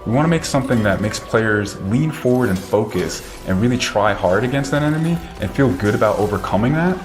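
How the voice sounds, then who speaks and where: A man's voice: fairly low pitch, lively intonation, a little fast. A man speaking as a game developer in a promotional interview. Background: music bed.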